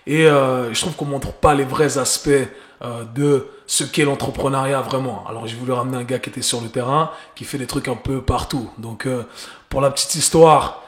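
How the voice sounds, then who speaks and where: A man's voice: mid-range voice, fairly even intonation, normal speed. A man, hosting a casual podcast and chatting loosely with English slang mixed in. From Geneva, Switzerland. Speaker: podcast host. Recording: roomy echo.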